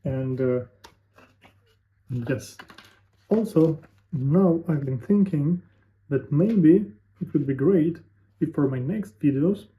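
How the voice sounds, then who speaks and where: A man's voice: fairly high pitch, animated. Musing young man, tinny lo-fi sound. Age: 20s. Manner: musing, halting, thinking aloud with fillers. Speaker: vlogger. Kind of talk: unscripted talking to camera.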